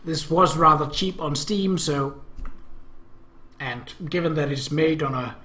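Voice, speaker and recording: A man hosting a let's play, reading a visual novel aloud and adding casual, halting commentary. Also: fairly high pitch, bright, forceful tone. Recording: echoey room.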